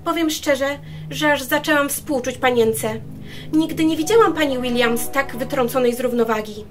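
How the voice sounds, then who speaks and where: A gossipy, eager-to-please maid, acted by a woman in a radio drama, with a high voice. She is effusive and deferential, and music plays clearly behind her. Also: normal speed, lightly acted. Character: chatty, gossipy unmarried hotel-style maid, eager to please. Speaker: amateur radio drama actor. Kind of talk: radio play acting.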